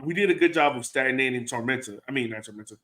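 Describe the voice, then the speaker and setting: Mid-pitched American man chatting about soccer. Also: quick pace, fairly bright tone. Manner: informal, thinking aloud with "like". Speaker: podcast co-host and soccer supporter. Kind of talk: casual sports chat.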